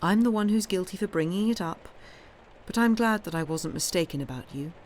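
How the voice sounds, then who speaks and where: A woman voicing a young woman in an audiobook, frank and guarded, gently teasing, with a hesitant delivery. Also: lowish voice, lively intonation, a little fast, a little hiss or hum, lightly acted. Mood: gentle, guarded. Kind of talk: dramatised audiobook reading.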